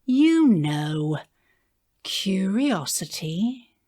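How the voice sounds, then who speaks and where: A woman playing a menacing, imperious cult leader in an audio drama, slow and theatrical, mock-gentle and often dropping to a whisper. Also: medium pitch, very animated. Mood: sly menace. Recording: roomy, echoing interior sound.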